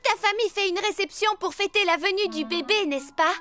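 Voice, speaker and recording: A very high, bright little cartoon animal girl. She is bossy and emphatic, explaining things like a know-it-all, over faint music. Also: fairly quick. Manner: emphatic, knowing. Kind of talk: French cartoon dubbing.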